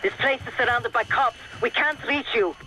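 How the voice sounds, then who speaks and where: An actor playing a tense operative on lookout in a video game, terse and clipped. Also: male voice, very high voice, normal speed, pushed and bright, slight background noise, full character voice, low-quality recording. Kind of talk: cinematic video game acting.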